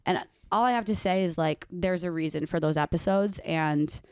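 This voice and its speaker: A woman's voice: lowish voice, moderate pace, darkish tone, clean tone, no echo, studio quality. Frank, swearing young woman podcast host. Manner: frank, swears freely, laughs between lines. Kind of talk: casual chat.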